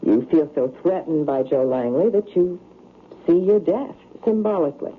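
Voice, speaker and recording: An actress playing a troubled, insistent psychiatrist in an old radio drama, speaking earnestly and precisely. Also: female voice, on the low side, lively intonation, moderate pace, natural voice. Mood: grave, uneasy. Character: troubled, insistent female psychiatrist haunted by fatal dreams. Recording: narrow, noisy vintage radio broadcast.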